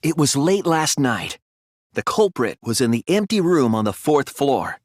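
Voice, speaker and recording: A man voicing an earnest young male detective in a video game, thoughtful and anguished but resolute, with deliberate, analytical phrasing. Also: medium pitch, sing-song, no echo, studio quality. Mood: analytical, serious. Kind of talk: dramatic video game voice acting, deductive explanation.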